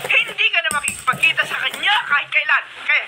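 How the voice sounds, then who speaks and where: High-pitched, imperious villainous mother. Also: lively intonation, quick pace. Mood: angry, menacing. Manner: imperious, menacing. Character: domineering, threatening mother, a wealthy compound's boss. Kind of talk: radio drama acting. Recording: noisy radio drama broadcast.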